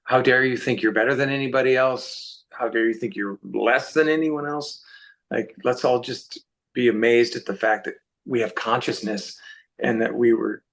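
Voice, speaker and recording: A man's voice: mid-range voice, moderate pace, a bit bright. A man speaking as an interview guest, giving reflective, conversational answers.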